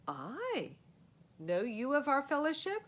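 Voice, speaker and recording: A woman's voice: sits high, wildly swooping pitch, slow pace, pitched above the performer's own voice, exaggerated voice. A woman voicing an earnest, courtly male knight in a storybook, speaking formally and archaically. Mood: reverent, earnest.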